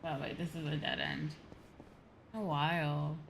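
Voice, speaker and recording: A woman's voice: deep voice, little pitch movement, unhurried, creaky, background noise. A woman streaming a game, chatting casually and playfully and muttering to herself.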